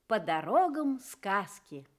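A woman's voice: medium pitch, big pitch swings, slow pace. A woman announcing a programme on Soviet children's radio.

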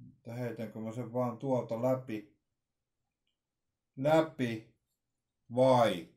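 Mellow-voiced man thinking aloud at home. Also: mid-range voice, steady tone. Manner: halting, thinking aloud with many fillers and restarts. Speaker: vlogger unboxing radios. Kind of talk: casual unboxing commentary. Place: small room at home with shelves. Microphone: webcam at desk.

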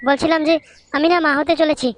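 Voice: high voice, level tone, fast, lightly acted. A performer voicing a coy young wife in a comic cartoon dub.

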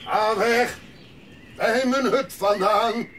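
An actor playing a gruff, grumpy old mountain grandfather in a Dutch audio drama, barking and muttering to himself. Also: high voice, steady tone, very slow, a bit bright, slight background noise, heavily acted. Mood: angry.

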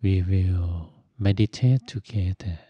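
Very slow, low-voiced man guiding meditation. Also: breathy edge, no room sound, muffled recording. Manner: gentle, instructive, phrase by phrase with long pauses. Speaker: Buddhist monk and meditation teacher. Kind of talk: guided meditation.

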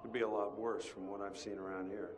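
A man's voice: fairly low pitch, level tone, fast talker, soft background music, close to an everyday voice. An actor playing a jaded, restless male asylum patient in a video game, with an American accent and sardonic, old-fashioned slangy wisecracks.

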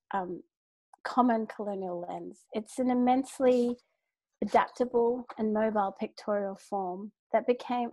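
A woman from Sri Lanka delivers a scripted academic lecture over a computer mic, measured and formal with the odd restart. Her voice sits a little high and sounds mellow rather than bright. The room sound is dry and close. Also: no echo, poor audio. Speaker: keynote lecturer, art historian. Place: home, speaking over a video call. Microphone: computer mic on a video call.

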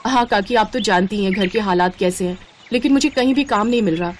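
Storybook role: long-suffering poor mother, mid-pitched, gentle and humble female performer. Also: fairly quick, slight background noise, close to an everyday voice. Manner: earnest, humble.

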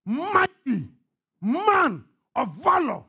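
A middle-aged man with a Ghanaian accent and a husky voice preaches emphatically in short phrases, often shouting and repeating questions to his congregation. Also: extremely high pitch, wildly swooping pitch, drawn-out words. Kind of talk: fervent, call-and-response sermon with pauses for interpreter. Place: church hall, on stage before a congregation.

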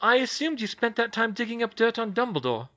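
A man voicing a sharp, clever young woman witch, confrontational with a raised voice. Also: very high-pitched, very animated, brisk, highs cut off, pitched above the performer's own voice, heavily acted. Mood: skeptical.

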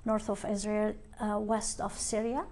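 Slow-spoken woman guest from Lebanon. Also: mid-range voice, flat delivery, a little mellow. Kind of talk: casual studio interview. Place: TV studio with backdrop.

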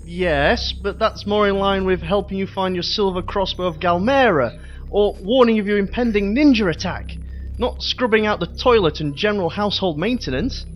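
An actor playing a put-upon, eager young superhero sidekick in a comedy audio drama. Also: male voice, high voice, moderate pace, faint music behind, close to an everyday voice.

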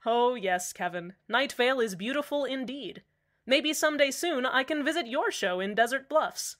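A woman's voice: high voice, lively intonation, moderate pace, fairly bright tone. A woman playing a polite, uneasy male community radio host in a radio drama, hesitant and placating.

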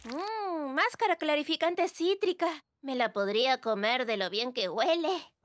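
A woman playing a nerdy, curious, excitable young girl in a dubbed children's cartoon, bookish and expressive. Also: high-pitched, background noise. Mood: knowing. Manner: bookish, expressive, drawn-out exclamations. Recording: music bed under the dialogue.

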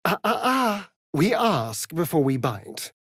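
An actor playing a flamboyant, sardonic vampire rogue in a video game, with an arch, drawling, theatrical delivery. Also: male voice, a little high, sing-song, takes it slowly, fairly bright tone, breathy edge, dry recording, clean studio sound, full character voice. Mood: arch, teasing.

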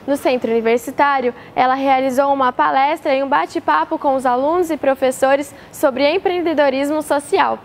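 Young woman presenter, high voice. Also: normal speed, few pauses, a little hiss or hum. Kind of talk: scripted news intro.

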